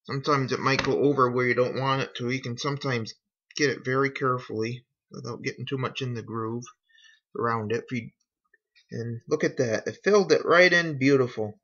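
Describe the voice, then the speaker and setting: A man giving a casual how-to narration in an unhurried, folksy way, talking through each step as a hobbyist. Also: mid-range voice, fairly bright tone. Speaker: hobbyist demonstrating a repair. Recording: dull, lo-fi home recording.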